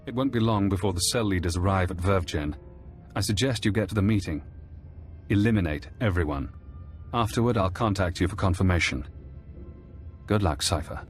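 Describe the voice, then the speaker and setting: Low, clinical male spymaster, acted by a man. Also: faint music behind, lightly acted. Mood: brisk, detached. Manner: measured, precise, detached. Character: calm, clinical male intelligence handler and tech expert. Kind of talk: scripted game cutscene acting.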